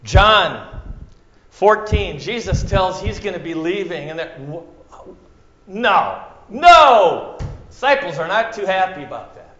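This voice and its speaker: Minnesota guy preaching, goes loud then whispery, roomy dull sound. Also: sits high, very animated, slow pace. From central Minnesota. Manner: dramatic, swings from loud to hushed, acts out quotes. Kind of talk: sermon. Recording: echoey room, muffled sound.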